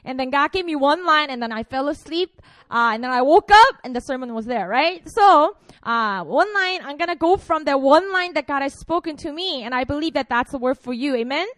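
A woman's voice: high-pitched, animated, brisk, fairly bright tone, dry recording. A woman in her twenties from Korea, a pastor preaching a casual, playful sermon to students. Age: 20s. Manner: chatty and playful, frequent fillers.

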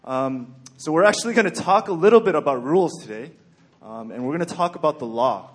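A young man from Texas giving a sermon in a calm, even-keeled way with frequent ums and okays. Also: on the high side, brisk, husky. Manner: even-keeled, plain-spoken, frequent "um" and "okay". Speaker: church speaker.

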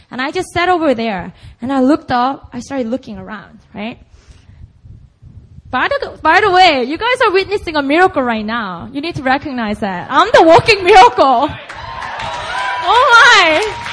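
A young woman preacher with a Korean accent and a high, bright-sounding voice. She talks to the congregation casually and with emphasis, tossing in "like" and "right?" between her points. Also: sing-song, moderate pace, some chatter behind. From Busan, South Korea. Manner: chatty and emphatic, full of "like" and "right?". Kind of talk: conversational sermon.

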